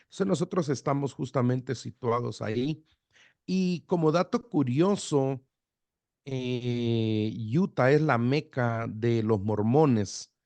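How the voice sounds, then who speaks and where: A middle-aged pastor from El Salvador, with an accent mixing Salvadoran and Guatemalan Spanish, speaking in a relaxed radio interview full of fillers and restarts. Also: male voice, medium pitch, slow pace, no room sound. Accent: Salvadoran-Guatemalan border Spanish. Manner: relaxed, hesitant with fillers and repeated words. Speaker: pastor, guest.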